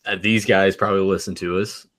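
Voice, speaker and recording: A man's voice: low voice, flat delivery, a little fast, fairly bright tone. A man with a Southern American accent, co-hosting a podcast in a rambling casual chat full of restarts. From Southern United States. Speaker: podcast co-host.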